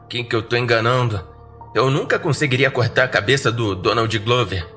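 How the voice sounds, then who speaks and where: A man with a Brazilian accent narrating a first-person horror story in a dramatic, wry and expressive way. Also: medium pitch.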